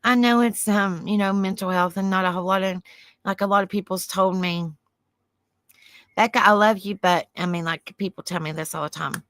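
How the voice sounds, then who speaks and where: A woman with a Southern US accent and a soft, soothing voice hosts a livestream. She chats in a rambling way, reads aloud and answers viewer comments. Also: mid-range voice, steady tone, moderate pace, no echo. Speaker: livestream host. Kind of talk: casual livestream chat, reading aloud.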